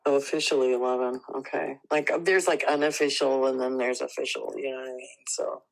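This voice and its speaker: A woman with a noticeably creaky, gravelly edge to her voice. She chats informally on the phone, asking curious questions. Her phrasing is loose and conversational, padded with little filler phrases. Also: extremely low pitch, steady tone, fairly quick, low-quality recording. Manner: informal, inquisitive, hedging with "like" and "you know". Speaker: phone call participant, curious listener. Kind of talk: casual chat.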